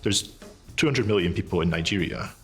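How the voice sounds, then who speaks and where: A man, an investigative journalist narrating and interviewing in a brisk, earnest way. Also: deep voice, expressive, soft background music.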